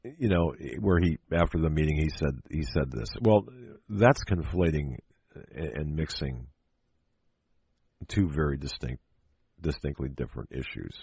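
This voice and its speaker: Deep, husky American male talk radio host. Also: a little mellow, no room sound. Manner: emphatic, halting delivery with rhetorical questions and repeated phrases. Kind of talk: political talk radio monologue. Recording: dull, lo-fi, dry radio audio.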